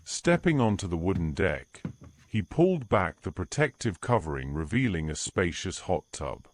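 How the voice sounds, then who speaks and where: A man with a deep voice reads the story's narration, with a lively rise and fall to his delivery. A faint hiss sits under the recording. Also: moderate pace.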